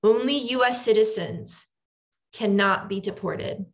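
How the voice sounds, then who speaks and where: A woman's voice: mid-range voice, slow. A woman attorney giving an online seminar, switching back and forth between English and Spanish with frequent ums. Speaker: immigration attorney and presenter. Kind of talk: bilingual online seminar presentation. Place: home video call. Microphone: video call microphone.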